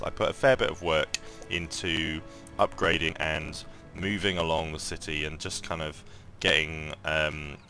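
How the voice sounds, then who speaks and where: Croaky low-voiced man thinking aloud. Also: pushed and bright. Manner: thinks aloud, rambling with many ums and restarts. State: croaky morning voice. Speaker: mobile game let's-play host. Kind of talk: casual gameplay commentary.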